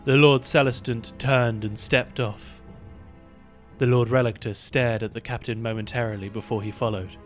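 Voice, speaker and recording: A man's voice: mid-range voice, fairly even intonation, moderate pace, soft background music. A male narrator reads a scripted fantasy tale. His voice sits in a medium register. The delivery is that of an audio drama storyteller.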